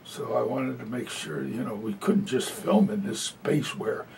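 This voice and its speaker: A man's voice: unhurried, vocal fry, a little mellow. A man voicing a presentation as a sign language interpreter, talking conversationally with many fillers. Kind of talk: live spoken interpretation of a talk. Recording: distant mic with faint low rumble.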